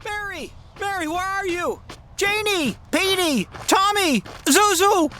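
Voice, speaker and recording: A woman's voice: very high-pitched, slow pace, bright, forceful tone, slight background noise, full character voice. A woman playing a frantic, desperate family man, stammering and pleading, in a comic radio drama.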